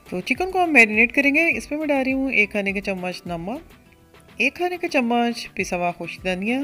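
A woman hosting a cooking channel, narrating a recipe step by step in a steady instructional voice. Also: fairly high pitch. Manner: steady step-by-step instruction, addresses viewers as friends. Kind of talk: recipe voice-over.

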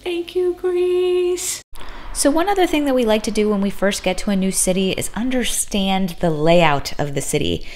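A woman's voice: medium pitch, animated, moderate pace, a little mellow. A woman, talking casually to camera as a travel vlogger indoors. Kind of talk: casual vlog talk to camera. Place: indoors in a small apartment. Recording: echoey rooms, some distant with noise.

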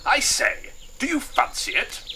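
A man's voice: a little slow, background noise, lightly acted. A man voicing a jolly old English colonel from a storybook, plummy and hearty with an upper-class accent. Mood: jolly, excited.

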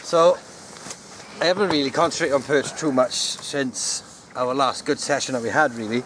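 A man's voice: medium pitch, moderate pace, a bit bright. A man, chatting casually as an angler outdoors, recorded on a camera. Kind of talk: casual chat. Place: grassy bank of a fishing lake, outdoors. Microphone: camera a few steps away. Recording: distant outdoor camera audio, faint noise.

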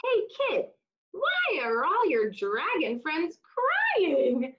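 A woman in her thirties reading a picture book aloud to young children, expressively, with exaggerated emphasis and drawn-out words. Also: high-pitched, wildly swooping pitch, muffled recording. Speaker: children's storytime reader. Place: small room indoors, seated on floor.

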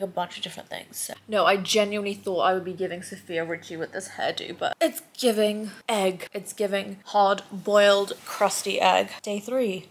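Young woman, stuffy congested voice with a gravelly fry, candid chatty vlogger talking into a laptop in bed. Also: medium pitch. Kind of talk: casual recovery vlog to camera. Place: bedroom, indoors.

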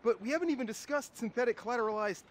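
A man's voice: extremely high pitch, very fast. A man playing an eager, naive young would-be stock trader in a satirical video game movie, gushing and slangy. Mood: puzzled, uneasy.

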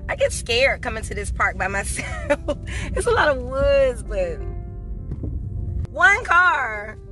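A woman's voice: high voice, very animated, slow pace, pushed and bright, faint music behind. A middle-aged woman chatting casually to her viewers, laughing often, filmed with a handheld phone in a car and out in a park. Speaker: vlogger. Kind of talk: casual vlog chat. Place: in a parked car and walking outdoors in a park. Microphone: phone camera held at arm's length.

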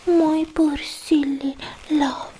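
A young woman playing a lovestruck, possessive alien girlfriend in a slow, cooing ASMR roleplay, mostly in breathy whispers close to the microphone. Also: high-pitched, level tone, mellow, a little hiss or hum, poor audio. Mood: adoring, cooing. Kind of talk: soft-spoken ASMR roleplay.

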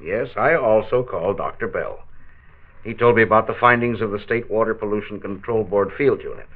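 An amiable plant manager and father in a 1940s radio drama. His voice sits fairly low and good-humored. It comes through a narrow, tinny old disc recording. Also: brisk. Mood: reasonable.

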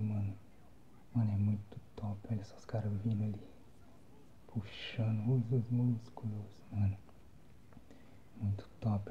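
A young Brazilian man giving casual, slangy ASMR gameplay commentary in a soft, breathy whisper. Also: fairly low pitch, muffled recording, background noise. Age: young adult. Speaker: gamer, ASMR channel host. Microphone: phone microphone, close.

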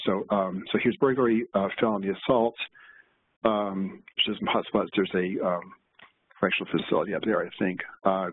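A man walking through a software demo at a meetup, talking in a halting way with many fillers and restarts. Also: fairly low pitch, husky, no echo. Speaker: presenter. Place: meetup room. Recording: tinny, lo-fi meetup recording.

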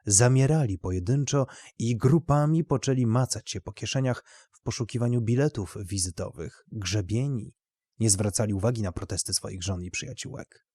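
Man, audiobook-style narrator, deepish pitch, raspy edge, scripted storytelling, close dry sound. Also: normal speed, warm tone, no echo. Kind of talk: scripted literary fiction narration.

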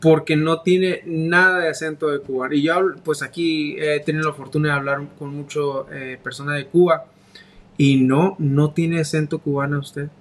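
A man in his thirties hosts a video-call interview, and his voice sits a little high for a man. He talks in a friendly, encouraging way, close to a big studio microphone in a small room. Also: level tone, moderate pace. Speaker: host. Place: small room with grey wall. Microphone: large studio mic on arm.

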